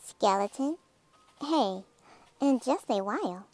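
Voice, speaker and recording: A woman reading a rhyming children's picture book aloud in a dramatic, theatrical way, drawing out words and dropping into hushed phrases. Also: on the high side, lively intonation, slow pace. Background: music.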